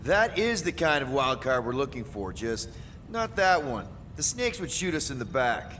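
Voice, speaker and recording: An actor playing a brash, scrappy leader of a space-outlaw crew in a video game. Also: male voice, medium pitch, very animated, normal speed, a bit bright, slight background noise. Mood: urgent, wry. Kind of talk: cinematic video game acting.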